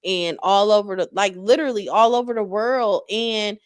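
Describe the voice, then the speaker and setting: A woman in her forties hosts a casual podcast chat, encouraging and warm in her words, often restarting her sentences. Her voice sits at an ordinary middle pitch. The sound is close and dry, with no room around it. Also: clear, resonant tone, no room sound. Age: 40s. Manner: encouraging, talks in quick bursts with repeated starts. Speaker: podcast host.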